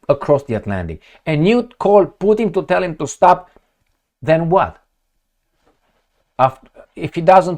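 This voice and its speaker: A man's voice: fairly high pitch, darkish tone. A man giving blunt, sarcastic political commentary, firing off rhetorical questions and swearing as he reacts to news reports.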